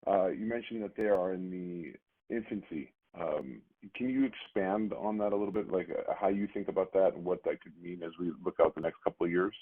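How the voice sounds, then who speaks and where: Male analyst caller, husky low voice, casual question-asking style, lo-fi telephone sound. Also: fairly quick. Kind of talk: conversational earnings call questions. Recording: muffled radio-like phone line.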